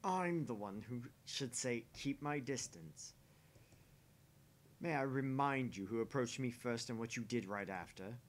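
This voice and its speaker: A man voicing a gruff, sardonic young man in dialogue read aloud from a visual novel. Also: mid-range voice, a little slow, close to an everyday voice. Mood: sardonic, challenging.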